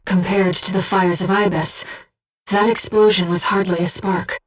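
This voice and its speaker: A woman voicing a gentle, disembodied female being who guides a pilot in a video game, speaking in a composed, earnest and precise way. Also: on the low side, steady tone, normal speed, distant mic, lightly acted, low-quality recording. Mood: calm, focused. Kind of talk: video game mission support voice acting.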